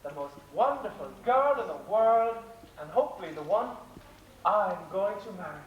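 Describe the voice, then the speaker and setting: A man's voice: high-pitched, animated, moderate pace, highs cut off. A man playing an earnest, friendly young hero in a stage pantomime, projecting heartily. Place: live theatre stage. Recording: echoey theatre, distant camcorder, faint noise.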